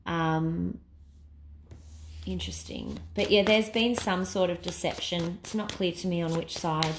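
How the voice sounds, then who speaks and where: A woman reading tarot cards in a casual way while losing her voice to a cold, thinking aloud with many pauses and fillers and now and then dropping to a whisper. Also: fairly low pitch, animated, background noise. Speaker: tarot reader.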